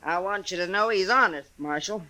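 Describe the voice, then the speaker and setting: A woman's voice: lowish voice, normal speed, poor audio. An actress playing a big, tough, ornery frontier woman in a 1950s radio western, with an American accent and a blunt, domineering manner. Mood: hearty, bossy. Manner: loud, domineering, blunt, rustic speech.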